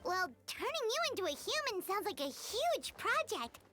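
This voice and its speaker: Woman doing a squeaky-high curious little companion. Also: sing-song, normal speed. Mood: musing. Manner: wondering, questioning. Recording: music playing behind the voice.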